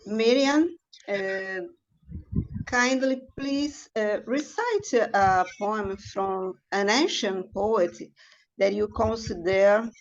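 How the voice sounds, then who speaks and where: A woman hosting an online poetry show in a formal, courteous and somewhat halting way. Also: medium pitch, vocal fry. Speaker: show host. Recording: dull lo-fi video call.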